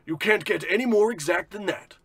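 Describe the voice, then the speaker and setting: A man's voice: high voice, brisk, fairly bright tone, slightly rough, dry recording, heavily acted. A man playing a pompous, boastful tycoon and bullying boss in a bombastic old-radio parody style. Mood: imperious. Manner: bombastic, self-important.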